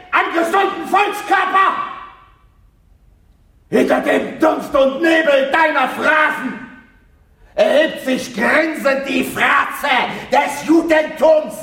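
An actor playing a needy, petulant young man in a radio drama, husky, moving between sulky muttering and bombastic ranting. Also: extremely high pitch, fairly bright tone, full character voice. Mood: furious ranting. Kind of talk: theatrical radio drama acting.

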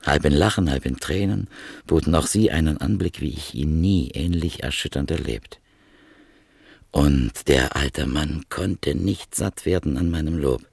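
A man narrating a literary radio drama in a measured, grave storytelling manner. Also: a bass voice, vocal fry, a little mellow, husky, no echo, studio quality.

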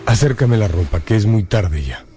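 A male actor plays a commanding middle-aged father in a radio drama. His formal, imperious speech moves widely in pitch, with light music in the background. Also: animated, normal speed, natural voice. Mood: delirious, agitated.

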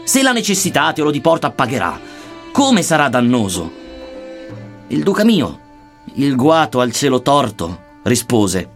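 A man reciting an archaic satirical verse narrative in a solemn, measured, declamatory manner. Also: mid-range voice, wildly swooping pitch, soft background music. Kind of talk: dramatic poetry recitation in archaic Dantean verse.

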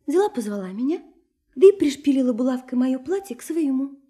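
An actress in a Russian radio play playing a lively, naive, sheltered young woman who is curious, insistent and teasing. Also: high-pitched, a little fast. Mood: confiding, playful. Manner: girlish, eager, teasing, pressing with questions.

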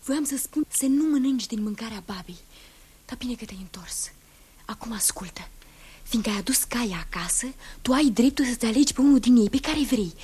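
An actress playing an anxious young maiden who speaks in a hushed, urgent, breathy way, in 1960s radio drama acting. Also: female voice, fairly high pitch, expressive.

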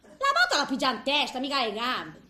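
A complaining woman of eighty in a comic theatre monologue, with a high, piercing voice that swings widely in pitch. She grumbles and exclaims. The sound is roomy, with faint noise behind her. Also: wildly swooping pitch, fairly quick, pushed and bright, heavily acted. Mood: indignant, scornful. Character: cantankerous, complaining eighty-year-old Italian woman in a nursing home.

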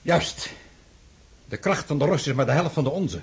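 Bossy overconfident french emperor, really rough husky voice, radio drama. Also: male voice, on the high side, expressive, moderate pace, heavily acted. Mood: impatient confidence. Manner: brusque, commanding, self-assured.

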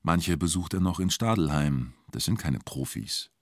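Tiefer, nüchterner Hörspiel-Erzähler. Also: male voice, rumbling low, animated, normal speed, dry recording, clean studio sound, heavily acted. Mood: sober, reflective. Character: sober male narrator and formal police interrogator. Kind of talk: scripted radio drama narration.

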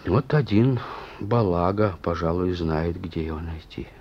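A man's voice: low voice, a little slow. A male actor playing a stern but fatherly police chief in a radio play, firm and colloquial, on a muffled recording. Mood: grim. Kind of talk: Russian radio play acting.

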